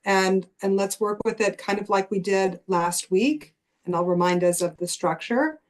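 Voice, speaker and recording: A woman leads a spiritual writing circle in a mid-pitched voice. She encourages the group warmly in loose, restarting sentences, steering them toward their next exercise. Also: moderate pace. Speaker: host and writing group leader. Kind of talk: guiding a spiritual writing workshop.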